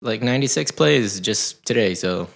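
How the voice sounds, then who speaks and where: A man's voice: fairly low pitch, animated, moderate pace, creaky, a bit bright. A young man hosting a podcast, rambling playfully through anecdotes in casual chat. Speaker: podcast host.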